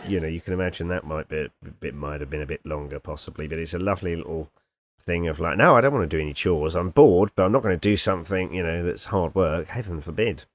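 A man co-hosting a podcast, chatting casually and getting animated when he quotes. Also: deep voice, quick pace. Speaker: podcast co-host. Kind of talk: casual chat.

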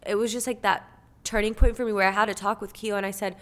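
A woman's voice: medium pitch, steady tone, quick pace, fairly bright tone. A woman in her twenties from Cuba, a podcast guest talking candidly and earnestly in casual chat, with many filler words. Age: 20s.

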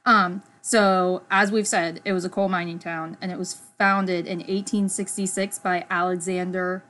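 A woman's voice: on the low side, little pitch movement, moderate pace, fairly bright tone. A young woman co-hosting a casual podcast, chatty and giggly, reading history from her notes with lots of "like". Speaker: podcast co-host. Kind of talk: casual chat.

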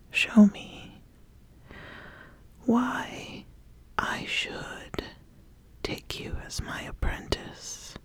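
A woman playing an ambitious witch who sizes up a would-be apprentice in a whispered ASMR roleplay, speaking deliberately and giving gentle instruction. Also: on the high side, slightly rough, heavily acted. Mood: hushed, solemn. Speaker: ASMR host.

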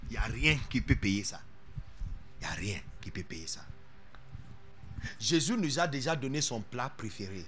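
A man preaching a sermon as pastor, animated and playful, dropping English interjections into his talk. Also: mid-range voice, moderate pace, pauses often.

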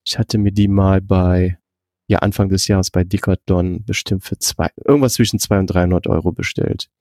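A man's voice: low-pitched, fairly even intonation, moderate pace, a little mellow, dry recording, studio quality. A man hosting a podcast, chatting casually, reading out listener questions and laughing often. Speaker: podcast host.

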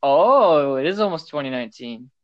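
A man's voice: on the high side, animated, moderate pace, dry recording, lo-fi sound. A man co-hosting a casual podcast, speaking in a laid-back way with short replies. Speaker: podcast co-host. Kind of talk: casual chat.

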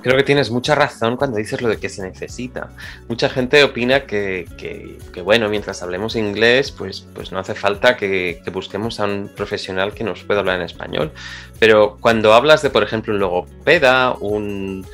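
A man with a mid-range voice hosts a radio interview. He speaks with care and sometimes stops to search for a word. Light music plays softly behind him. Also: on the low side. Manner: measured, searching for words. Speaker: radio host. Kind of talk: interview hosting. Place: radio studio.

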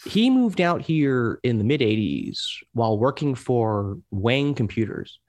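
A man in his thirties from Hong Kong, a guest in a casual talk, speaking haltingly with many restarts and fillers. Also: medium pitch, big pitch swings, darkish tone. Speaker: guest, chair of an overseas Democrats group. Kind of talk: casual conversation.

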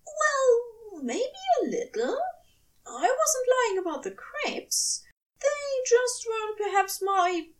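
A woman's voice: very high voice, sing-song, takes it slowly, recorded from a distance, pitched above the performer's own voice, heavily acted. A woman voicing a tender, prim male angel lover in an audio fan fiction, earnest and coyly hesitant in phrasing. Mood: sheepish.

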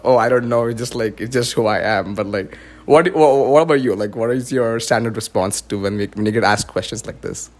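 A young man hosting a podcast in casual chat, raised in India after a US birth, talking with lots of "like" fillers. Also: medium pitch. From born in the US, raised in India. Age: young adult. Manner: fast, filler-heavy talk with many "like"s. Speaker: podcast host.

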